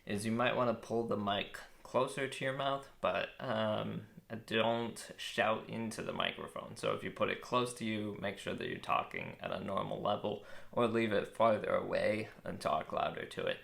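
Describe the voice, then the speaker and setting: Man, young adult, very low voice, gravelly, relaxed gear review, lots of ums. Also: normal speed, hardly any pauses. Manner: relaxed, thoughtful, with frequent ums and uhs. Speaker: vlogger reviewing a microphone. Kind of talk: casual product review. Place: outdoors with birds nearby. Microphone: shotgun mic on phone.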